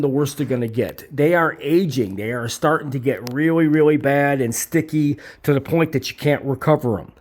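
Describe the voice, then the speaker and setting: A man's voice: fairly high pitch, a little mellow. A man giving an informal promotional talk about his own business, speaking bluntly and emphatically with salty, repetitive phrasing. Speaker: tape transfer business owner presenting his service.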